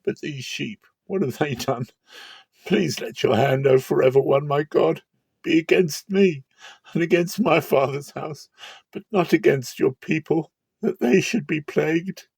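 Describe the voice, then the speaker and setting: A man's voice: fairly high pitch, a little slow, vocal fry, a little mellow, breathy edge, no room sound, pitched above the performer's own voice, exaggerated voice. A man playing a humble, penitent king who pleads earnestly in anguish in a dramatic scripture reading. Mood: anguished pleading.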